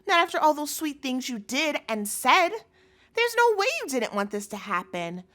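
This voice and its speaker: A woman's voice: high-pitched, sing-song, normal speed, fairly bright tone. A woman playing an obsessive chef girlfriend in a scripted audio roleplay, sugary and coaxing with a threatening edge, speaking intimately to the listener. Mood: sweet, doting. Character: obsessive yandere chef girlfriend, sweet but menacing.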